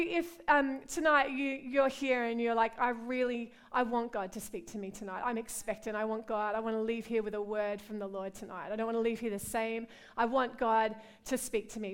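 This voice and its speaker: Australian woman, middle-aged, preacher, earnest prayer, fairly high voice, smooth and clear. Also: fairly quick, hardly any pauses. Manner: warm, earnest, repeats "God" and "Lord" in prayer. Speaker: pastor. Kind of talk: women's conference sermon and prayer.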